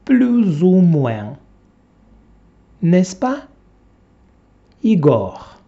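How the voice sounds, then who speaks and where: A man teaching a language, reading a textbook dialogue aloud one short phrase at a time, with long pauses for learners to repeat. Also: high-pitched, sing-song, vocal fry, warm tone. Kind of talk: slow dictation-style reading for repetition. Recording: dull lo-fi classroom recording.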